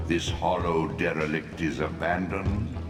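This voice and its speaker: Abyssally deep, echoing ancient spirit. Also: male voice, steady tone, over music. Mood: commanding, approving. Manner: grandiose, measured, ominous pronouncements. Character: ancient godlike spirit mentor, solemn and commanding. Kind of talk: theatrical video game voice acting.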